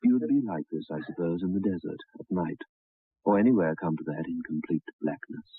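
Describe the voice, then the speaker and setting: Old radio horror narrator: low, grave, portentous, measured, dull recording with music underneath. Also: male voice, lively intonation, breathy edge, dry recording. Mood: ominous. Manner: measured, portentous, dramatic pauses.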